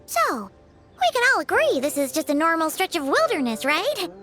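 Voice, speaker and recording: A tiny floating fairy girl with a very high, squeaky voice that bounces all over the place, eager and excitable. Her tone is bright and sharp, and she chatters about herself in the third person. Soft game music plays behind her. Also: moderate pace, exaggerated voice. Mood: curious. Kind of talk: video game voice acting.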